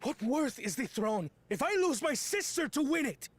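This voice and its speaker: An actor playing an earnest, hot-headed, protective royal brother in a video game, speaking emphatically. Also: male voice, very high-pitched, sing-song, normal speed. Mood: alarmed, urgent. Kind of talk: video game cutscene acting.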